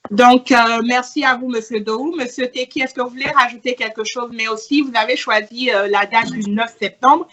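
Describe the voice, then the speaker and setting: A woman hosts an interview, asking her guests polite questions. She pauses on fillers as she frames each one, in a slightly high voice. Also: steady tone, fairly bright tone, dull audio. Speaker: interviewer, host.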